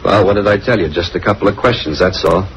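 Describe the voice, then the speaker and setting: Casting: surly American barkeep, sarcastic wisecracks, quick and lowish voice, old radio drama. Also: male voice. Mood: sarcastic, annoyed. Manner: wisecracking, exasperated, slangy. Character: grumbling, sarcastic male cafe bartender, uncooperative. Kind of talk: 1950s radio crime drama acting. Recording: noisy old radio recording.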